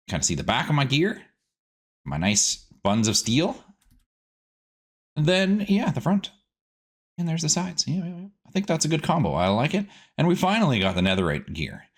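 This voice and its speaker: A man's voice: medium pitch, sing-song, normal speed, long pauses. A man hosting a casual gaming video, chatting to himself playfully as he plays, with silly words and sing-song asides. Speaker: gaming host. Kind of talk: casual game commentary, let's play.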